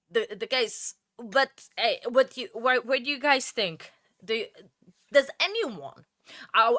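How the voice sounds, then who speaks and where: A woman's voice: medium pitch, pushed and bright, dry recording, studio quality. A woman with a Portuguese accent hosting a true crime livestream, chatting loosely with viewers, full of fillers and restarts. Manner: rambling, chatty, many fillers and restarts, greets chat by name. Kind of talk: casual livestream chat with viewers.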